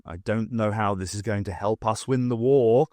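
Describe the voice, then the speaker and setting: A man's voice: lowish voice, moderate pace, a little mellow, dry recording, studio quality, natural voice. A man voicing an anxious, uncomfortable storybook prince with a fretful manner. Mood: uneasy. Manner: fretful, muttering.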